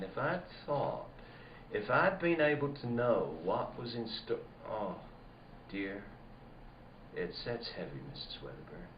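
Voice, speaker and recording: An actor playing a middle-aged rural sheriff in a radio play, speaking a folksy rustic dialect in a deliberate, roundabout way. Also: male voice, lowish voice. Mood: burdened. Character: slow-talking rural sheriff, folksy, probing and insinuating. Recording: dull, roomy, faint background noise.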